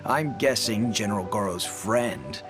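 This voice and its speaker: An actor playing an easygoing, tactful army captain in a video game dub, giving measured, knowing advice. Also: male voice, on the low side, natural voice. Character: tactful, easygoing older soldier, male captain. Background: faint music.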